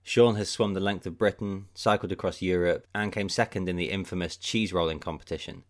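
A male podcast host reads his scripted introduction. His voice is very low and his delivery is measured and even.

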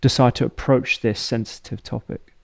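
A man hosting a podcast who asks thoughtful, halting questions with frequent restarts. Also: mid-range voice, steady tone, gravelly, dry recording. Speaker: podcast host. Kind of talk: conversational interview.

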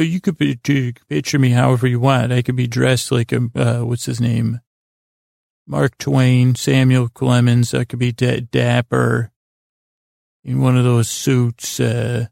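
Very creaky man rambling haltingly. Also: medium pitch, darkish tone, no room sound, clean studio sound. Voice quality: gravelly, creaky. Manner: meandering, halting, stammering, self-deprecating. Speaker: podcast host. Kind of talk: rambling bedtime monologue.

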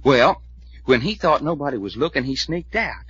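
An animated man, a folksy adventurer's sidekick. He spins things out in colloquial style with a bright, rough-edged voice. The sound is a dull old radio recording. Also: medium pitch, moderate pace, dry recording, exaggerated voice. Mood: animated storytelling. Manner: colloquial, drawling storyteller. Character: Doc, folksy, excitable male adventurer sidekick. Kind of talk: old-time radio serial acting.

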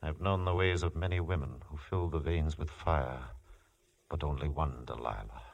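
A man's voice: rumbling low, moderate pace, vocal fry, dull audio, exaggerated voice. An actor playing an indulgent, suave king with a courtly, grandiose delivery, in 1950s radio drama. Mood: amorous, suave.

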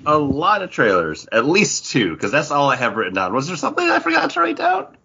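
A man's voice: on the high side, huge pitch swings, a little fast, creaky, pushed and bright, slightly rough. A man co-hosting a podcast in casual, joking chat, self-deprecating. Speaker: podcast co-host. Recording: dull lo-fi recording.

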